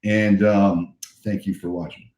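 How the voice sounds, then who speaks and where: Low-voiced male host, hoarse, energetic motivational delivery, recorded in a reverberant home office. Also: a little slow. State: hoarse, voice a bit shot. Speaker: real estate agent hosting a live cold-calling stream. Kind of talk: casual pep-talk livestream chat.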